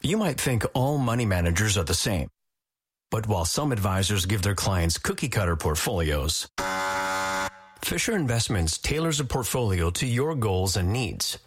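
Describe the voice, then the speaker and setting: A man reading a scripted finance ad in a smooth, reassuring tone. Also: on the low side, big pitch swings, moderate pace. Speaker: ad announcer. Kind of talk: scripted radio ad.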